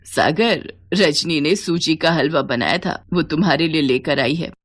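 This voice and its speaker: A woman's voice: sits low, measured pace, noisy background. A woman voicing a kindly, protective older village mother in a story drama. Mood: gentle.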